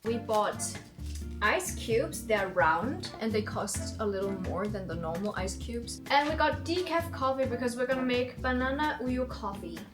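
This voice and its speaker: A woman's voice: fairly high pitch, expressive, moderate pace, over music. A young woman from Denmark, a native Danish speaker, chatting candidly as a vlogger and telling stories. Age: 20s. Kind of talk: casual vlog chat.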